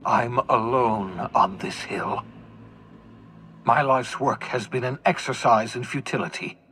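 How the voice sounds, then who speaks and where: An actor playing a despairing, defiant older fugitive scientist in a video game, theatrical and swinging from bitter to pleading. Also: male voice, mid-range voice, unhurried, sharp tone, slightly rough. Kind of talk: dramatic video game acting.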